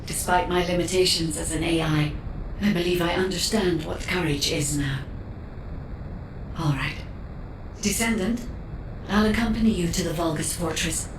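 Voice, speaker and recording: A low female voice for a loyal video game AI companion, acted by a woman. She is formal and sincere, heard at a distance with clear noise behind her. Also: moderate pace, pauses often, close to an everyday voice. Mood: sincere resolve. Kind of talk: video game dialogue, mission briefing. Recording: roomy echo, distant, noisy game sound.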